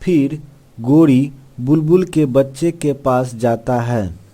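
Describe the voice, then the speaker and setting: A man's voice: medium pitch, slow pace, dark tone. A man reading scripted narration for a children's cartoon story.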